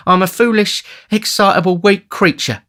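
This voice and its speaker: A man's voice: high-pitched, steady tone, moderate pace, bright, forceful tone, pitched above the performer's own voice. A man voicing an older matron lady in a storybook, flustered and whimpering, with a breathless, plaintive flutter. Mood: self-pitying.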